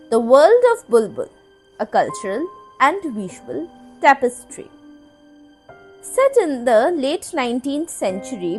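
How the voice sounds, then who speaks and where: A woman with a fairly high voice and very lively intonation delivers a scripted video essay. Her phrasing is literary and measured, with deliberate dramatic pauses. Music plays under the voice-over. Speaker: video essay narrator, podcast host.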